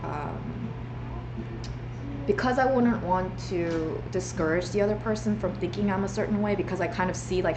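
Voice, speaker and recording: A woman's voice: lowish voice, background noise. A young woman livestreaming from home, talking earnestly and rambling with many restarts. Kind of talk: reflective livestream monologue. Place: home room.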